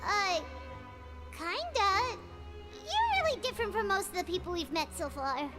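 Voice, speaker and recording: A woman voicing a small, excitable, greedy childlike companion in a video game, squeaky and speaking of herself in the third person. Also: unhurried, over music, heavily acted. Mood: curious, wary.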